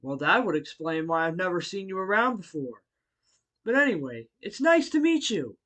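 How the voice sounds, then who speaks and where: A man voicing a friendly, bookish martial-arts hero and teacher in a fan audio roleplay, speaking politely to the listener with hesitant pauses. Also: high-pitched, very animated, fairly bright tone. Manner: polite, chuckling, hesitant pauses between phrases.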